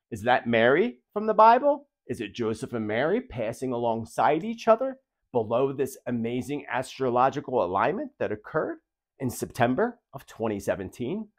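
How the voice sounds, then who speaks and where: A man's voice: fairly high pitch, darkish tone. A man hosting a video, narrating prophecy in a dramatic, emphatic, theatrical way. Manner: emphatic, theatrical, rhetorical questions. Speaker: video host and self-described predictor.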